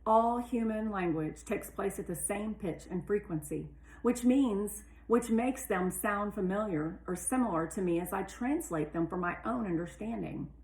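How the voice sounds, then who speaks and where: Female narrator for children's read-aloud, mid-range voice, animated and deliberate, echoey room sound. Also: mid-range voice. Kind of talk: expressive children's audiobook narration.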